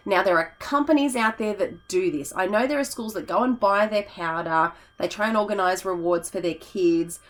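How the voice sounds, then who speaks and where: A hoarse woman, a teacher chatting casually in a vlog, rambling with fillers and self-corrections. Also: medium pitch, normal speed, few pauses. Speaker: teacher and YouTuber.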